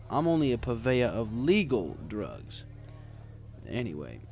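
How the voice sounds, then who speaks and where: A man with a fairly low voice reads first-person fiction. His delivery is wry and conversational, and his pitch moves up and down in a lively way as he tells it. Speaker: story reader. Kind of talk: first-person scripted fan fiction narration.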